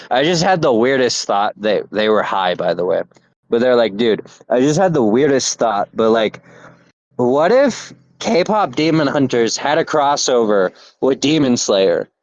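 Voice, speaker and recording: A man co-hosting a casual podcast, talking in halting bursts with many fillers and restarts. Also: medium pitch, expressive, muffled recording. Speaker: podcast co-host.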